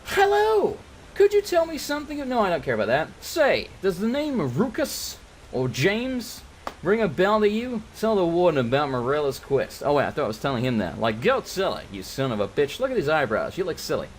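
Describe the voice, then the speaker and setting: A man's voice: on the high side, fairly quick, a little hiss or hum. A British man hosting a gameplay video, joking, swearing and telling rambling stories as he plays. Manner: profane, joking, animated storytelling. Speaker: gaming video host. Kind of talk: let's play commentary, rambling anecdotes.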